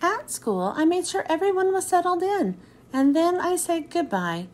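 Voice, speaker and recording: A woman reading a children's picture book aloud in an expressive storytelling manner, then thanking her listeners. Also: extremely high pitch, normal speed.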